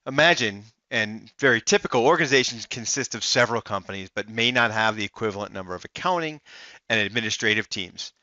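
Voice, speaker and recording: A man with a crisp, forceful voice walks through a software demo step by step. He sounds fairly low-pitched and is recorded close and dry, as a voice-over. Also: lively intonation, fast talker, dry recording, highs cut off. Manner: explanatory, step-by-step first-person walkthrough. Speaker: software demonstrator. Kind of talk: narrated software demo walkthrough.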